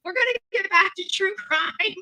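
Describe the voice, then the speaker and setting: A woman streamer talks casually to her viewers. Her voice is extremely high and piercingly bright, with a sharp, forceful edge. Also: normal speed, no room sound. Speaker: online streamer. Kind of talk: casual livestream chat.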